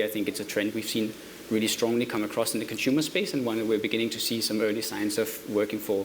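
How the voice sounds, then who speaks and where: A man's voice: on the low side, a little hiss or hum. A man from Denmark speaking fluently as a conference panelist. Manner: fluent, brisk. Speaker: business executive, panelist.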